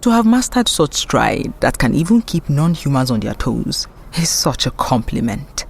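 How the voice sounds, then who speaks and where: An actress playing a stern, secretive operative who speaks in a composed, curt and dry way with a creaky voice. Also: female voice, rumbling low, sing-song, moderate pace, exaggerated voice. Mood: cool, commanding. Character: stern, secretive female operative, cool and commanding. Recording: noisy ambient sound effects behind.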